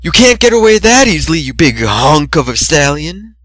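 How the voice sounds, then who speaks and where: A man's voice: a little high, big pitch swings, normal speed, fairly bright tone, no room sound, studio quality, voice pushed up. Man acting a frenzied, amorous female unicorn. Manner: snarling, grasping. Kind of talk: amateur dramatic reading of fan fiction.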